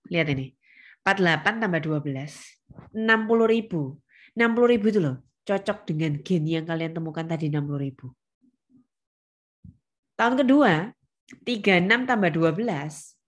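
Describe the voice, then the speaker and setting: A woman lecturing to students, explaining step by step with emphasis on key words and checking that they follow. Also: fairly low pitch. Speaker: accounting lecturer. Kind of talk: spoken lesson with questions to students.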